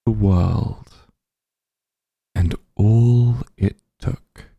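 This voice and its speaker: A man playing a powerful, calm and smug demon lord in a fantasy ASMR roleplay, unhurried and condescending, with a gravelly, husky low voice. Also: dry recording, studio quality, heavily acted. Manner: unhurried, condescending, softly commanding.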